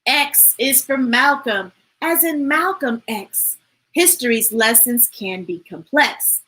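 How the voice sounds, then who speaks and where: A woman hosting a children's storytime, reading aloud in an expressive, playful, emphatic way with chatty asides to viewers. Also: on the high side, slow, husky.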